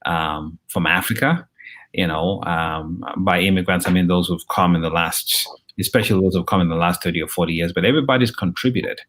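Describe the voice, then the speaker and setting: A man in his fifties from Nigeria, a journalist and professor giving an interview, talking in a measured way with frequent ums and restarts. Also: deep voice, fairly bright tone. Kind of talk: conversational interview.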